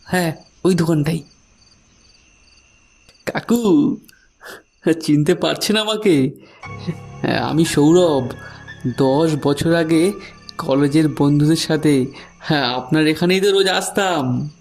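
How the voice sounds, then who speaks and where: A man's voice: a little high, slow, creaky, pauses often, a little mellow, soft background music, heavily acted. A man playing a curious young man in a dramatized horror cartoon story. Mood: eager. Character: brave young man, curious, growing frightened.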